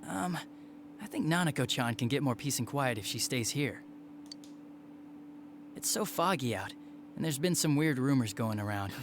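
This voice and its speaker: An actor playing a young junior police detective in a video game, casual and slightly awkward. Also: male voice, medium pitch, lively intonation, moderate pace, background noise, natural voice. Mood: hesitant.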